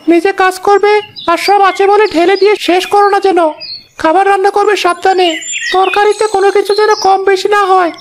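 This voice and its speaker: A woman voicing a mother-devoted, stern grown village son in an animated drama, earnest and commanding. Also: extremely high pitch, a little fast, noisy background. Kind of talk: animated horror drama acting.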